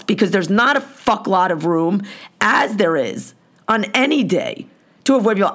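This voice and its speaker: Hoarse woman host, gravelly, blunt and foul-mouthed, heated rant delivery. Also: medium pitch. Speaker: podcast host. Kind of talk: angry profane rant.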